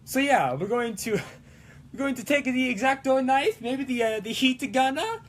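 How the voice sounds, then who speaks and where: A man doing a high, excitable cartoon Italian guy. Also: a little slow, full character voice. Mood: playful, explaining. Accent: mock Italian. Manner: exclamatory, playful, sing-song broken English. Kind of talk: live-streamed prop-making vlog in a put-on accent.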